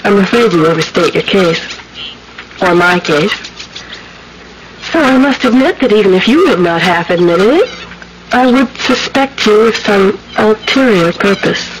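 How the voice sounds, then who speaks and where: An actress playing a kind, anxious young gentlewoman in an old-time radio drama, speaking in formal, genteel phrases. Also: medium pitch, lively intonation, unhurried, noisy background, lightly acted. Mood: earnest, guarded. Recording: old radio recording with music.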